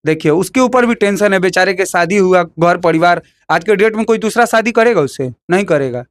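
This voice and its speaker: Guy w bhojpuri accent arguing his opinion, high voice, dry close sound, emphatic, repeats himself. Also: animated, a little fast, no echo. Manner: combative, emphatic, repeats phrases, fires rhetorical questions. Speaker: interviewee. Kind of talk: heated opinionated interview talk.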